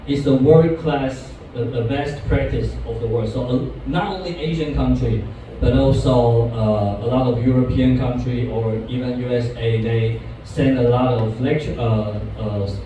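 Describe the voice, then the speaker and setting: A man in his thirties from Taiwan giving a talk to university students, halting, with many restarts and fillers. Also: mid-range voice, steady tone, far from the mic, noisy background. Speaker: guest speaker. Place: university classroom. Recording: echoey lecture room from afar.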